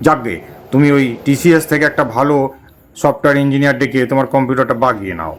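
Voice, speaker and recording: A man's voice: mid-range voice, fast talker, a little hiss or hum, close to an everyday voice. A man voicing a weary, lordly god of death who grumbles colloquially in a comic cartoon. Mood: bossy.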